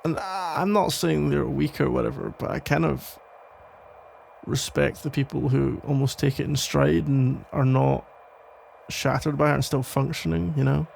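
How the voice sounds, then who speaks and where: A man playing a protective, tender police officer still in love, speaking haltingly in an intimate ASMR roleplay. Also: medium pitch, creaky, a little hiss or hum. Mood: admiring, gentle. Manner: hesitant, halting, rambling with sighs and soft chuckles. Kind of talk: ASMR roleplay, intimate one-sided conversation.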